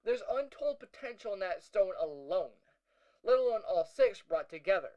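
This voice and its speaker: A young male hero , acted by a man, records his audio log in a high-pitched voice. He talks conversationally and a little hesitantly, as if thinking it through. His storytelling is uneasy, with dry, wry asides in the manner of an audio drama. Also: big pitch swings, moderate pace, mellow, lo-fi sound. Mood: explanatory, serious. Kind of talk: first-person audio log narration, conversational.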